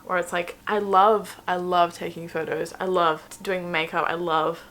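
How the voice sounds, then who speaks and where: A woman's voice: lowish voice, flat delivery, a little slow, fairly bright tone. A woman in her twenties from Australia, chatting casually to the camera at home while doing her makeup, rambling with lots of "like"s and joking asides. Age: 20s. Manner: chatty and rambling, many "like"s and self-mocking asides. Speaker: vlogger. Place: home room indoors.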